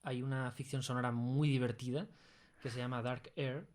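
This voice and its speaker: A man's voice: mid-range voice, little pitch movement, moderate pace. A man with an accent from Spain chatting informally and enthusiastically as an interview guest. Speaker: audio fiction creator, interview guest. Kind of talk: casual chat.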